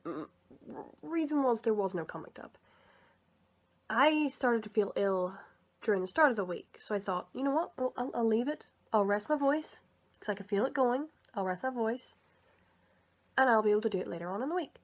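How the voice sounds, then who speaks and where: A woman's voice: on the high side, lively intonation, moderate pace. Chatty young-sounding woman, a bit sick. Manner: chatty, rambling, self-correcting. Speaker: video creator and comic dub reader. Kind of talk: casual commentary vlog.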